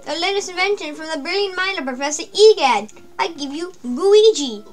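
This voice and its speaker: A woman's voice: very high voice, animated, normal speed, faint music behind. A woman reading as an old inventor professor. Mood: chipper. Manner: reading on-screen dialogue aloud. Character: elderly male inventor professor, cackling.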